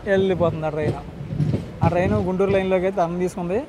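Andhra telugu guy doing a train vlog, talks quick, chatty to viewers, clear train noise behind him. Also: steady tone. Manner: chatty, addresses viewers directly. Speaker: train travel vlogger. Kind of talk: casual travel vlog commentary. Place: on board a moving train. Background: train rumble and outdoor ambience.

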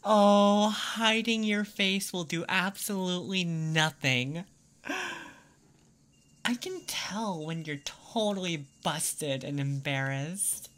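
Slow, teasing tomboy played by a woman. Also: lowish voice, a bit bright. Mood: smug, teasing. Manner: teasing, drawling, slipping into whispers. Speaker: ASMR roleplay performer. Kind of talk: ASMR roleplay, intimate second-person acting.

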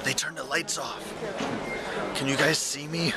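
A man's voice: on the high side, fairly even intonation, a little slow. Young male vlogger, playful and giggly, camera at arm's length in a noisy packed auditorium. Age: young adult. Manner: giggly, joking, laughs between lines. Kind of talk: casual vlog chat to camera. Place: dark school auditorium at a band concert. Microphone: handheld camera at arm's length. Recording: noisy crowded auditorium camcorder audio. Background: audience chatter and room noise.

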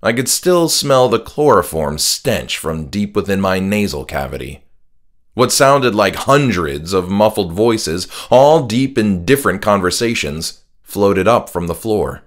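Low-voiced man narrating horror. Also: very animated, a little slow. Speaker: author and audiobook narrator. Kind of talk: scripted horror narration, first person. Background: music.

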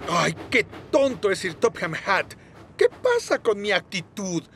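A vain, grumbling cartoon train with a Latin American accent. The delivery is whiny and indignant, with lively swoops at a measured pace. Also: male voice, high-pitched, creaky, background noise, heavily acted. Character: vain, grumbling male steam engine. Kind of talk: cartoon voice acting.

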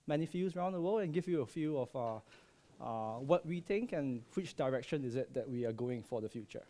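Mellow-voiced man presenting at a seminar. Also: on the high side. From Malaysia. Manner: friendly, joking, many ums and uhs. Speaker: subsea processing engineer. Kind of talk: conference presentation. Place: large lecture hall. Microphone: headset.

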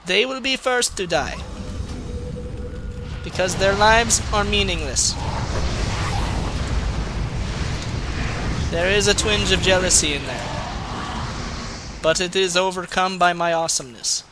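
A man's voice: high voice, normal speed, pauses often, very noisy. A man putting on a Russian accent as a crude, boastful, grumbling gamer, giving comic gameplay commentary in broken English. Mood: sulky, spiteful. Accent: put-on Russian. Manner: crude, swearing, mock-menacing, broken English.

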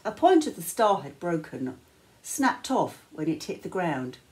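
Older female storyteller for bedtime picture book: unhurried, animated pitch, dramatic pauses. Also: low-quality recording. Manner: measured, dramatic phrasing with pauses. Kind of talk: expressive picture-book read-aloud. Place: small living room, indoors.

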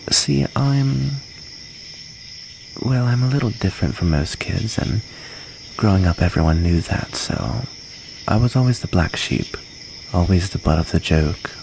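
A man playing a shy, wounded, gentle young outcast vampire in an ASMR comfort roleplay, speaking in a halting, soft-hearted way. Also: deep voice, gravelly. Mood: sorry, hesitant. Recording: noisy, cricket ambience behind.

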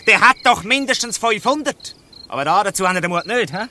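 A man's voice: sits high, lively intonation, fast, exaggerated voice. A man playing a brave, reproachful homeless boy in a Swiss German audio drama. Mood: indignant. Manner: reproachful, forceful. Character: indignant, brave homeless boy, new gang member. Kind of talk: dialect audio drama acting.

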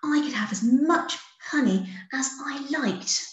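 A woman's voice: high voice, expressive, slow, reverberant, voice pushed up. A woman voicing a friendly, hopeful male bear from a storybook who talks in an earnest, hesitant way. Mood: wistful, eager.

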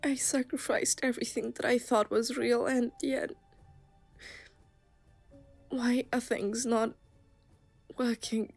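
A woman voicing an obsessive, self-aware anime schoolgirl in an ASMR roleplay, soft and often whispering, shy, hesitant and stammering as she pleads. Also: on the high side, fairly even intonation, slow pace, gravelly, long pauses, soft background music, heavily acted. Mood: distressed, remorseful.

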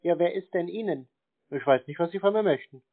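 A man's voice: high-pitched, animated, brisk. A man on a phone line answering a telemarketer, stubborn and acting clueless. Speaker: call recipient baiting a telemarketer. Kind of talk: phone conversation. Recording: narrow tinny phone line.

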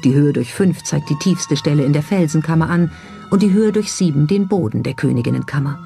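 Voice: medium pitch, brisk, vocal fry, dark tone. A narrator delivering a scripted documentary voice-over. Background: background music.

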